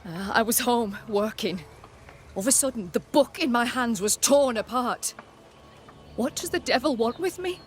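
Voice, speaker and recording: A woman's voice: fairly high pitch, lively intonation, moderate pace, a little hiss or hum, lightly acted. A woman voicing a proud, pious colonial healer with a prim, curt and formal manner in a video game. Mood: troubled.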